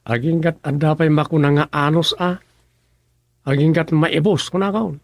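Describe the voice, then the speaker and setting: An earnest young village man in a radio drama, rather high for a man. He talks plaintively and plainly, in a dry, close recording. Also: steady tone, moderate pace, dry recording. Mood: resigned. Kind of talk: rural comedy radio drama acting.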